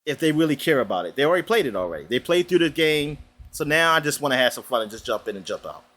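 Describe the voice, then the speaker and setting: A man's voice: a little high, very animated, fast, a bit bright. A man co-hosting a gaming podcast, laid-back and crude, laughing often. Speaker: podcast co-host. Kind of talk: casual chat.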